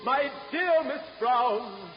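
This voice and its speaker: An actor playing a lovelorn stage melodrama hero, declaiming grandly and hammily in a radio play. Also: male voice, very high voice, expressive, drawn-out words, lo-fi sound, faint music behind, exaggerated voice. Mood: ardent. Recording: echoing hall, old radio recording.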